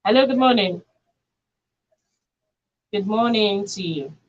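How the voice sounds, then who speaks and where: A woman's voice: medium pitch, takes it slowly, highs cut off. A Nigerian woman hosting a live radio call-in show from a studio.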